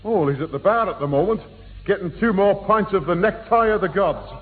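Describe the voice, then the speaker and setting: A man's voice: high-pitched, fairly quick, phone-quality sound, lightly acted. A man playing a genial beer-loving pub regular with a Northern English accent, pompously mangling long words in a 1960s radio sitcom. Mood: helpful, comic. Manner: jolly, pompous malapropisms. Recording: thin, noisy old radio broadcast.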